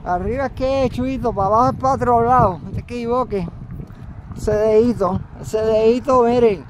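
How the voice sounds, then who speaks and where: A man from Puerto Rico with a Puerto Rican accent, vlogging casually as he walks outdoors, halting, with many fillers and restarts. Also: very high voice, a little hiss or hum, poor audio. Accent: Puerto Rican Spanish. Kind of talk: casual walking vlog. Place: outdoors, walking.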